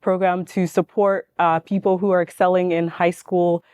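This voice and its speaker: Even-toned young woman, clear voice. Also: lowish voice, normal speed, no room sound. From born in Ghana, grew up in Washington state, US. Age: young adult. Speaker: panelist, manufacturing engineer. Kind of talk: informal personal introduction on a panel.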